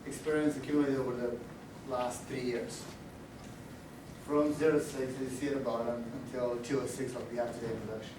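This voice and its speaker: A man with a Romanian accent giving a technical conference talk, halting with many fillers and restarts. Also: mid-range voice, level tone. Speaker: conference speaker. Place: large echoey conference room. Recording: echoey room audio, distant mic, background noise.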